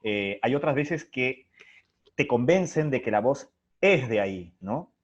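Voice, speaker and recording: A man's voice: medium pitch, lively intonation, a little slow. A man, a director being interviewed, talking reflectively and explaining through examples. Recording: dull lo-fi online call audio.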